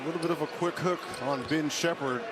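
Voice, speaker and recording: A man's voice: on the high side, steady tone, fast talker. A man giving live television basketball analysis as a color commentator. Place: basketball arena. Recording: loud arena crowd and court noise. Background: roaring crowd, bouncing ball.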